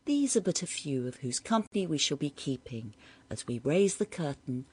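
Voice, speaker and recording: A woman's voice: low-pitched, sing-song, moderate pace, warm tone, husky. A woman narrating a scripted introduction to an audio theatre series.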